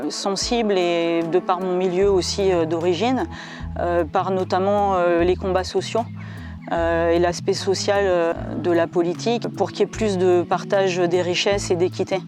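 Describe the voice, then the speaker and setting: A woman's voice: on the low side, steady tone, normal speed. A woman in her forties giving a hesitant campaign statement with many fillers. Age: 40s. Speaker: schoolteacher and election candidate. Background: music.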